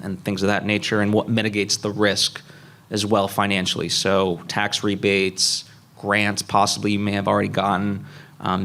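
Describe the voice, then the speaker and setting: A man's voice: lowish voice, fairly bright tone. A man speaking as a panelist at a live convention, methodically explaining things point by point. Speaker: panelist, indie animation filmmaker.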